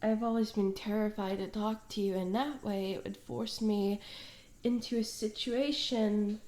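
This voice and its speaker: A woman playing a smitten, awkward tomboy stalker in an intimate one-sided ASMR roleplay, hesitant and soft-spoken, trailing off with small hums. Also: medium pitch, steady tone, a little slow, close to an everyday voice. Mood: earnest, smitten.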